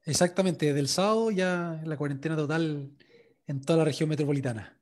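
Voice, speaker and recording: A sports journalist being interviewed, telling his story in a relaxed way, with many fillers and restarts. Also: a little high, fairly quick. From Chile. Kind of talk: interview answers.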